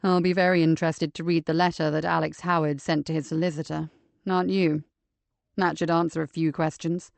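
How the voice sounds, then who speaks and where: A woman voicing a calm, low male detective. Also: gravelly, no room sound. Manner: low, gentle and measured. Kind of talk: audiobook character voice.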